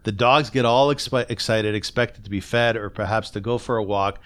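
Smooth, clear-voiced man hosting an interview. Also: on the low side, steady tone, moderate pace, fairly bright tone. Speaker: podcast host and interviewer. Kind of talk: conversational interview.

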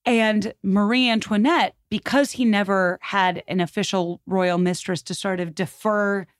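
A woman's voice: medium pitch, steady tone, measured pace, no room sound, clean studio sound. A woman talking through history as a podcast guest, conversational and informal, with an enthusiastic, opinionated manner.

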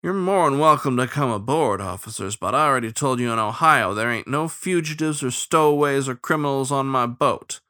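Man playing an easygoing otter riverboat captain, bright-voiced. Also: medium pitch, pitched above the performer's own voice, lightly acted. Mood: defiant. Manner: folksy, unhurried, rustic grammar. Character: easygoing male otter riverboat captain, lazy sneer, protective.

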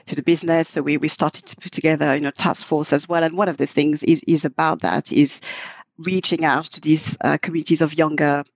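A woman's voice: deep voice, fairly even intonation, creaky, no room sound. A middle-aged woman, not a native English speaker, talking thoughtfully as a podcast interview guest and often restarting her sentences. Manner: thoughtful, halting with frequent restarts. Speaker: guest, marketing executive.

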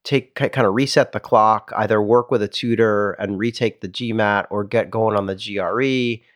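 A man's voice: fairly low pitch, steady tone, normal speed, no echo, studio quality. A man co-hosting a podcast, talking casually and thinking aloud with fillers like "I mean" and "you know". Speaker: podcast co-host. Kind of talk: casual discussion.

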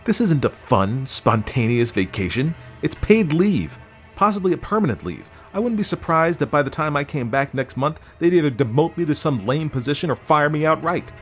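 Audiobook husband, played by a male narrator: domineering, irritable germophobe, emphatic syllables, swinging pitch. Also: animated, a little fast, soft background music. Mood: bitter, angry. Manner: shouting, hitting syllables hard. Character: angry, germ-phobic, domineering husband.

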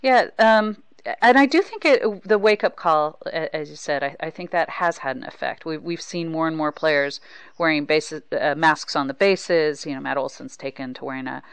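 A woman's voice: low voice, normal speed, smooth, dry recording. A woman hosting a sports podcast, talking casually in a hesitant, thoughtful way.

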